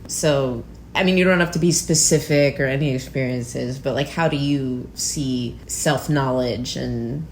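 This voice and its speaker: Woman hosting a casual chat, really low voice, says like a lot, slight background noise. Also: unhurried, hardly any pauses, a little mellow. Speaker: interview host.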